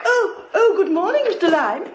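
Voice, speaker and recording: A woman's voice: extremely high pitch, animated, normal speed, a little hiss or hum. An actress playing a refined, hesitant noblewoman, in 1940s radio acting. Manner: hesitant, genteel, many ums.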